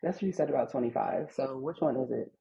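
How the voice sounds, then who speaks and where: A woman co-hosting a casual podcast, relaxed and conversational, often murmuring agreement. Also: very deep voice, quick pace, gravelly. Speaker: podcast co-host. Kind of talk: casual chat. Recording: slightly dull, muffled audio.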